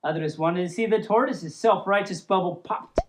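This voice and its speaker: A woman's voice: medium pitch, moderate pace. A woman reading a humorous story aloud as host, playful and giggly, breaking off for casual asides. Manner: playful, giggly, stumbles over words and riffs on them.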